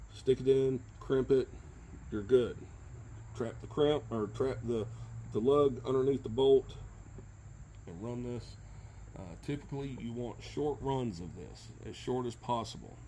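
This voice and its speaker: A middle-aged man talks through a practical job in a casual, plain-spoken way. His voice sits at a medium pitch, and his phrasing is halting as he works. The camera picks him up beside a truck bed with a light layer of background noise. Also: dark tone. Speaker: how-to video presenter. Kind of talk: casual hands-on tutorial.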